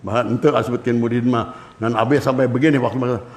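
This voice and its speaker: An older man with a Sundanese accent giving a religious lecture to students, lively and switching between languages as he acts out anecdotes. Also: medium pitch, fairly quick. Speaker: Islamic cleric.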